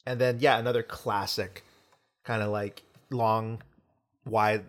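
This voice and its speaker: A man's voice: fairly low pitch, steady tone, takes it slowly. An American man co-hosting a film podcast, talking in a rambling, enthusiastic, casual way. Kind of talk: casual film discussion chat.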